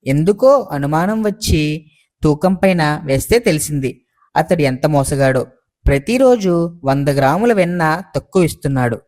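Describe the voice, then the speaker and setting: An actor playing a suspicious, indignant village baker in a moral story, quick to accuse others. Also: male voice, fairly high pitch, lively intonation, darkish tone. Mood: aggrieved. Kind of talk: acted dialogue in a moral story.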